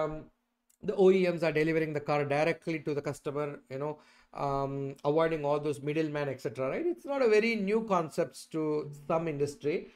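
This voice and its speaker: A middle-aged man with a slightly high voice teaches a software tutorial. He explains step by step and often checks that the listener follows with short tag questions. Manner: checks in often with "right?" and "okay". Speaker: instructor presenting a software tutorial. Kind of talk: explanatory screen-share tutorial. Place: indoors, at a computer.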